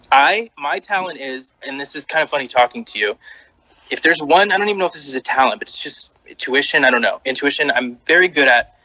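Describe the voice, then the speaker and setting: A man's voice: mid-range voice, fairly quick, rough recording. A man interviewing a guest in casual chat, hesitant with many fillers. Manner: lively, hesitant with many likes and ums.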